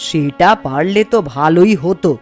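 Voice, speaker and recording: A woman's voice: on the low side, moderate pace, darkish tone, lightly acted. A woman playing a weary, scolding but caring village housewife and mother in a children's folktale cartoon. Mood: exasperated. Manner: nagging, chiding. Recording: music under the voice.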